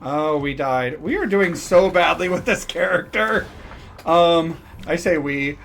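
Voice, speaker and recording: A man's voice: a little high, a little slow, vocal fry, a bit bright, slight background noise. A man giving casual, self-deprecating and hesitant commentary as he streams a game.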